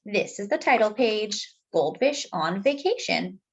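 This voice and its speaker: A woman reading a picture book aloud to young children as a storytime host, expressive and speaking directly to her listeners. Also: mid-range voice, unhurried, highs cut off.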